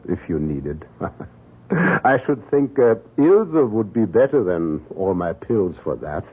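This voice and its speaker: An actor playing an older, kindly doctor in an old radio drama, avuncular and reassuring, with small hesitations. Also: male voice, sits low, very animated, slow pace, slightly rough, heavily acted. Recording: narrow noisy old radio broadcast.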